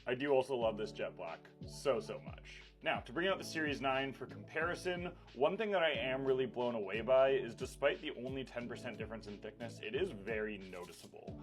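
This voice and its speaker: A man's voice: medium pitch, lively intonation, a little fast, hardly any pauses. A man giving a casual tech review in an informal, conversational, enthusiastic way, as a vlogger would. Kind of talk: casual product review vlog. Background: background music.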